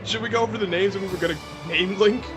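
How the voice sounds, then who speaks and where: A man's voice: high voice, quick pace. A man co-commentating on a video game in an informal, joking, chatty way. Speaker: let's play co-commentator. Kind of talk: casual gameplay commentary, joking chat. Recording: game music under the voice.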